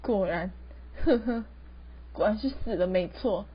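A woman's voice: mid-range voice, measured pace, slightly rough. A teenage girl playing a proud, emotional young city wife who feels wronged, in an amateur radio drama with melodramatic delivery. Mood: bleak. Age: teens. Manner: melodramatic, code-switching English words into speech. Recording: muffled lo-fi recording with background music.

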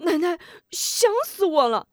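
A woman's voice: fairly low pitch, big pitch swings, measured pace, no echo, clean studio sound. A woman voicing a hot-tempered young male swordsman in a told story, blurting out his feelings. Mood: joyful. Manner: blurting, emotional outbursts.

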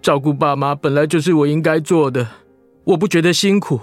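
A man acting in a radio drama as a devoted younger brother, gentle and reassuring. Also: a little high, a little fast, no room sound, soft background music, natural voice. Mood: tender reassurance.

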